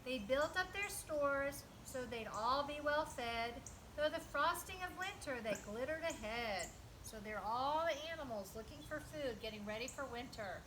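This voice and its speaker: High, bright-voiced woman reading a children's story. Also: slight background noise. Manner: animated, emphatic, teacherly, asks listeners questions. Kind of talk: expressive read-aloud with explanatory asides.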